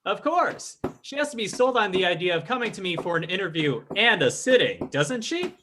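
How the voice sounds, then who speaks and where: An actor playing a sly, imperious fake psychic and crime boss in an old-time radio drama, speaking in a pompous, formal, commanding way. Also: male voice, high voice, big pitch swings, brisk, background noise. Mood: pleased, scheming.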